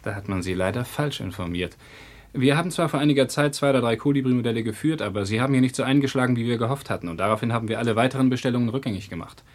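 A man's voice: mid-range voice, rapid-fire, natural voice. A man playing a guarded boutique owner in a German radio crime drama, speaking in a formal, firm and courteous manner.

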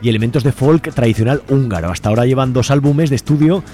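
A man's voice: mid-range voice, quick pace, mellow. A man with an accent from Spain presenting casually as a live radio host. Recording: music playing behind. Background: music.

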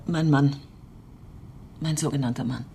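A woman's voice: rumbling low, steady tone, measured pace, gravelly, warm tone, slight background noise, full character voice. An actress playing a bitter, blunt, tough countrywoman in a radio drama, speaking in a terse, resolute way. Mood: bitter, weary. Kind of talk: naturalistic radio drama dialogue.

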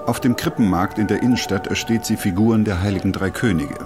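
A man's voice: fairly low pitch, fast talker, music in the background. A man reading scripted documentary narration as the narrator.

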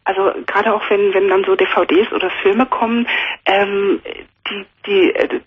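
A woman's voice: mid-range voice, steady tone, normal speed, husky. A woman calling a radio show, talking hesitantly with many fillers but in an open, friendly way. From Cameroon. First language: German. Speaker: caller. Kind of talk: casual phone conversation. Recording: muffled phone line on radio.

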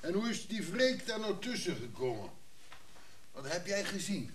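An actor playing a calm, wistful craftsman in a naturalistic radio drama. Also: high-pitched, moderate pace. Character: calm, wistful male craftsman who admires old machines. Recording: roomy echo, faint noise.

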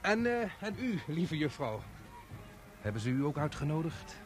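High-voiced, showy chatty man. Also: big pitch swings, moderate pace, faint music behind. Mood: chatty, nosy. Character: chatty, showy bystander man turned excited event commentator. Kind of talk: Dutch radio play acting.

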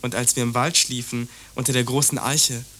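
An actor playing an obtuse, prejudiced young lover in a radio play, condescending and evasive. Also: male voice, mid-range voice, flat delivery, normal speed, sharp tone, slight background noise, lightly acted. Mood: placating. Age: young adult. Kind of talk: German radio play dialogue.

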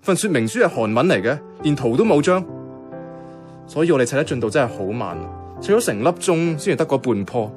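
An actor playing a self-effacing young bank worker in a radio drama, speaking casual, colloquial Hong Kong Cantonese. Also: male voice, mid-range voice, very animated, quick pace, darkish tone, lightly acted. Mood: nervous, reflective. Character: soft-hearted, self-effacing young male bank worker, secretly devoted. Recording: music playing behind the voice.

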